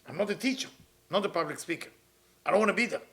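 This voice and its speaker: A man, his voice sitting a little higher than most men's. He teaches with earnest conviction, circling back over his phrases in loose grammar. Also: lively intonation, fast, sharp tone. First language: Hebrew. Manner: earnest, repeats phrases, non-native grammar. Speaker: kabbalah teacher. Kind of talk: weekly spiritual talk.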